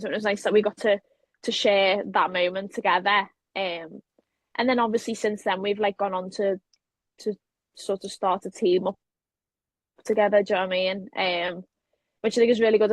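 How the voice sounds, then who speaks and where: A woman's voice: mid-range voice, no echo. A young woman from England with a Liverpool accent, answering interview questions in a chatty way with frequent filler phrases. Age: young adult. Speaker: wrestler, guest. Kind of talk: casual interview.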